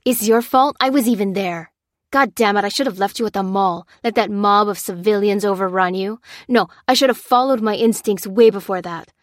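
A woman's voice: mid-range voice, a little fast, dry recording, studio quality, natural voice. A woman voicing a bitter, hardened male soldier who growls and rants accusations. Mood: angry ranting. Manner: growling, ranting, hurling blame. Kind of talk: audiobook character reading.

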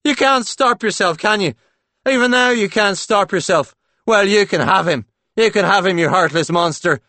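A theatrical man in a storybook, acting the betrayed lover. The voice leaps high and loud, shrill and exclaiming, full of self-pitying melodrama. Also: moderate pace, no room sound. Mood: wounded melodrama. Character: scheming man staging a melodramatic, wronged lover's tantrum.

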